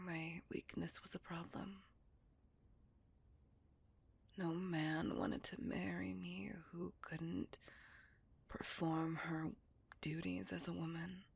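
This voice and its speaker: A woman voicing a melancholy, bitter female vampire, telling her life story in a breathy, intimate whisper as an ASMR roleplay monologue. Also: lowish voice, little pitch movement, heavily acted. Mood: wistful, wounded. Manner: slow, intimate, confessional storytelling. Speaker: voice actor in audio roleplay.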